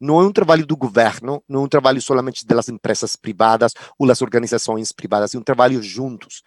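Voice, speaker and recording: A man with a Spanish accent talks haltingly on a video-call panel, restarting phrases and hunting for the right word. His voice is close and dry, with no room sound. His pitch sits in the ordinary middle. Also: dry recording. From Costa Rica. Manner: halting, searching for words, many restarts. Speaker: film commission head. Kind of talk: panel talk.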